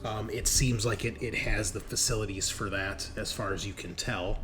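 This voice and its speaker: A man narrating a tabletop roleplaying game as game master, describing scenes in a halting, improvised way. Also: lowish voice, steady tone, background noise.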